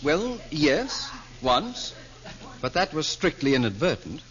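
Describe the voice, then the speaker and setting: A formal, deadpan neighbor, whose pitch still swings widely through his long, prim sentences. The sound is dull and lo-fi, like an old radio broadcast. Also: takes it slowly, heavily acted. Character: deadpan absent-minded weatherman neighbor, middle-aged. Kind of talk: 1940s radio comedy acting. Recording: scratchy 1940s radio broadcast.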